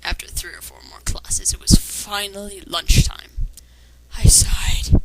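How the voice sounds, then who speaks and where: A woman's voice: medium pitch, flat delivery, a little slow, in a whisper, poor audio. A woman reading fan fiction aloud with sarcastic, critical commentary. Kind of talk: dramatic reading with mocking commentary.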